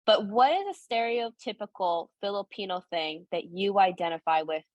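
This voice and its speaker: A woman's voice: mid-range voice, animated, normal speed, no echo. A woman hosting a video call, cheerful and encouraging as she moves to questions. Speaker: host.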